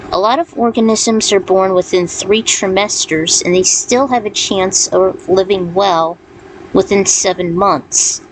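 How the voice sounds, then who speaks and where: A woman reading her own fan fiction and author's notes as a storyteller. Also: mid-range voice. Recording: clearly noisy background.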